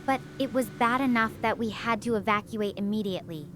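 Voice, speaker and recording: A stranded young researcher from an interactive sci-fi story, earnest and anxious, voiced by a synthetic woman's voice. She explains things in a measured way, in a fairly high voice. Faint noise sits under the recording. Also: close to an everyday voice. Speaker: astrophysics researcher.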